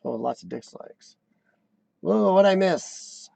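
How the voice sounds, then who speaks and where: A man in his forties hosting a casual live chat, with a high-pitched squeaky voice worn by coughing, who often clears his throat and restarts his sentences. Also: lively intonation, takes it slowly, dark tone, no echo. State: voice worn from lung illness and coughing. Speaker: host of a live chat show. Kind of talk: casual live-stream chat reading viewer comments.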